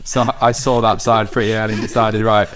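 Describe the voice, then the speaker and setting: A young man in his twenties hosts a podcast with a fairly low voice. He talks casually and bluntly, swearing freely as he chats. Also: little pitch movement, fast, a bit bright. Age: 20s. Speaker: podcast host. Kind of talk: casual chat.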